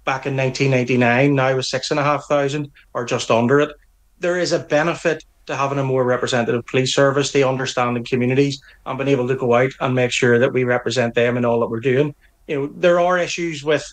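A man's voice: mid-range voice, steady tone, fast talker. Northern irish guy, middle-aged, earnest and firm, keeps restarting bits, being interviewed. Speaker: police association representative. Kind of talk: broadcast interview answers.

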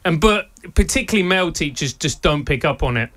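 A man with a South London accent whose first language is Spanish, a former teacher and podcast co-host, talking in an interview with wry, lively storytelling. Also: a little high, brisk. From England. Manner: lively anecdotal delivery with wry humour and laughs.

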